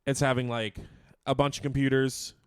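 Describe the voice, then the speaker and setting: Smooth-voiced man chatting, close and dry. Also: mid-range voice, little pitch movement, moderate pace, dry recording. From Canada. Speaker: co-host of a local music and chat radio show; musician. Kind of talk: casual unscripted news chat.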